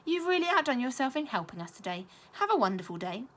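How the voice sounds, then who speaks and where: A woman reading the part of a kind, patient mother in a children's story, warm, courteous and reassuring. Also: high voice, very animated, fast talker, voice pushed up, heavily acted. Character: kind, brightly polite mother, patient and determined.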